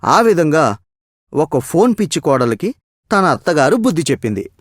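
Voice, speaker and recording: A man's voice: a little high, very animated, normal speed, dry recording, studio quality. A man narrating a scripted moral story.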